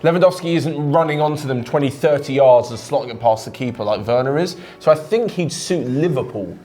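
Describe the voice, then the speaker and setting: A British man co-hosting a casual football chat, lively and quick with a joking edge. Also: medium pitch. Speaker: co-host.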